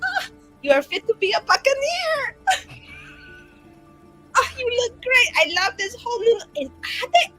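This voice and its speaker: A woman's voice: very high-pitched, big pitch swings, a little slow, pauses often, bright, forceful tone, faint music behind, low-quality recording. A woman playing a tabletop roleplaying game, bubbly and effusive, slipping between narration and character talk. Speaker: player.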